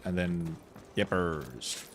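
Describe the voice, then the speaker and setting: A man's voice: low-pitched, drawn-out words, slight background noise. A man giving casual live gameplay commentary as a streamer, rambling and muttering to himself. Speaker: game streamer.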